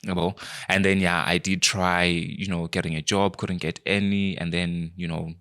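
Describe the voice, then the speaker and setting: A young man from Zimbabwe, a podcast guest, talking in a quiet, shy, soft-spoken way. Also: low voice, level tone, moderate pace. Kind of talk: casual interview conversation.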